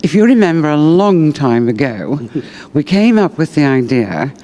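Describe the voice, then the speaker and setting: A man's voice: a little high, very animated, a little slow, mellow, faint music behind. A man speaking as an interviewer in a slightly formal, prepared way.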